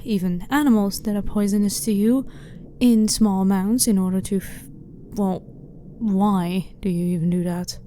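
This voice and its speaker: A woman acts out a female alien researcher in a sci-fi ASMR roleplay, with a dark, relaxed tone at a middle pitch. She is blunt and clinical but curious and unthreatening, speaking softly and formally to the listener. Noticeable ambient noise sits behind the voice. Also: moderate pace, close to an everyday voice. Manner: blunt, clinical, matter-of-fact, slightly formal. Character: blunt, curious female alien scientist, calm and not hostile. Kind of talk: ASMR roleplay, soft-spoken monologue to the listener.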